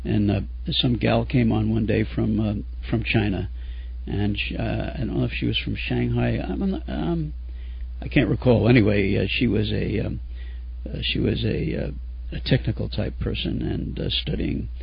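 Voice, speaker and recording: A man hosting a radio interview, hoarse and husky because he is fighting a cold. His voice is gravelly and rough, and he rambles through his questions with plenty of ums and uhs. The sound is dull, lo-fi radio audio. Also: lowish voice, normal speed, dry recording. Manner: chatty, rambling with many fillers. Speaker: radio show host.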